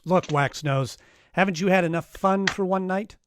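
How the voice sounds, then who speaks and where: A man's voice: high voice, normal speed, a bit bright, a little hiss or hum. A man playing a wry, hard-boiled private detective in 1940s radio style, dry and wisecracking. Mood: sardonic. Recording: live-streamed radio play with music underneath.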